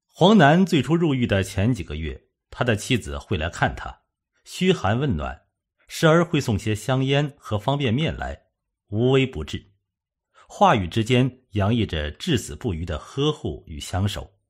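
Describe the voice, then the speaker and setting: A man's voice: mid-range voice, big pitch swings, moderate pace, breathy edge. A man narrating a suspense novel from a script, as an audiobook reader.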